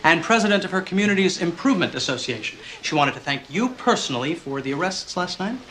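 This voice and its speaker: An actor playing a blustery police captain, eager to please, in a television drama. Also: male voice, high voice, animated, quick pace, a bit bright, a little hiss or hum, poor audio. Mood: hearty, official.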